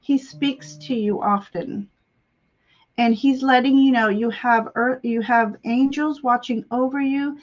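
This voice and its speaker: A woman giving intuitive readings on a live stream, congested and halting, often clearing her throat. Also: a little high, darkish tone. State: congested sinuses, voice slightly giving out. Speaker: guest psychic tarot reader. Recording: dull, lo-fi stream audio.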